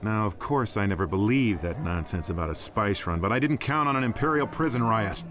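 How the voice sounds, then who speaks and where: An actor playing a cocky, impatient smuggler pilot in a video game, talking in a wry, grumbling, informal way. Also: male voice, fairly low pitch, lively intonation, brisk, fairly bright tone, slight background noise. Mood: wary, resigned. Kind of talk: dramatic video game acting.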